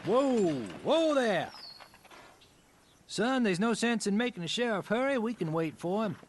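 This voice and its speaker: Backwoods moonshiner dad: rough, wily, Appalachian, folksy grammar, high male register, very animated. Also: measured pace, a little hiss or hum. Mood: sly, folksy. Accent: American Appalachian. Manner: folksy, drawling, ungrammatical country speech. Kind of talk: radio drama acting.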